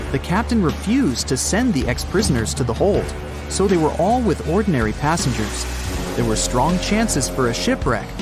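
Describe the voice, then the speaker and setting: High-pitched, animated male narrator. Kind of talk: scripted documentary storytelling narration. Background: background music.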